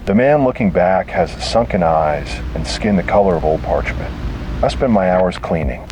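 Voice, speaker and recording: A man narrating scripted first-person horror in a dramatic, deliberate and grim manner. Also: low voice, animated. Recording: noisy, hissy analog tape sound.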